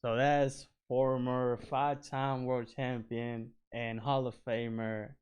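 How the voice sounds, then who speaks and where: A young man from Puerto Rico, a boxer guesting on a podcast, giving brief and easygoing answers. His voice is smooth and clear, at a middle pitch for a man. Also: flat delivery, takes it slowly, a little mellow. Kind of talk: casual interview chat.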